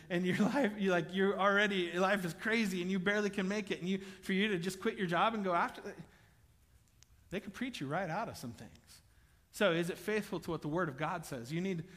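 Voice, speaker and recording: Bright-voiced pastor in an echoing hall. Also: male voice, high voice. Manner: conversational, joking with the congregation, rising to loud emphatic bursts. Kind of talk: sermon. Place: church auditorium.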